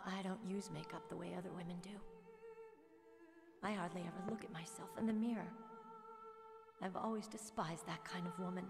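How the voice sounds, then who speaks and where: A woman's voice: lowish voice, vocal fry. An actress playing a tough, earnest young female rookie soldier in a video game, frank and self-assured. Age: young adult. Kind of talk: dramatic game cutscene dialogue. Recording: echoey reverberant game audio, music underneath.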